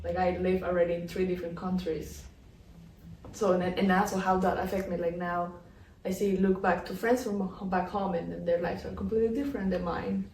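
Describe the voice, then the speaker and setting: A woman's voice: lowish voice, level tone, a little fast, darkish tone, slight background noise. A Colombian woman in her twenties, co-hosting a casual podcast chat, laughing often and filling her talk with "like". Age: 20s. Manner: giggly, lots of "like" fillers. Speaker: podcast co-host. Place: indoor room in the Netherlands. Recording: echoey room, mic at a distance.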